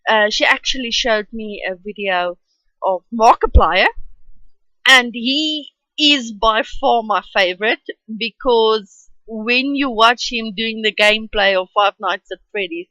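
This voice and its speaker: A woman with an Afrikaans accent talks through her drawing in a slow, unhurried, rambling way. Her voice sits a little high. The home recording sounds dull, lo-fi and dry. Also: pushed and bright, no echo. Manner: chatty and rambling, with many ums. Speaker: artist narrating her drawing. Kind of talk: casual voice-over for a speed drawing.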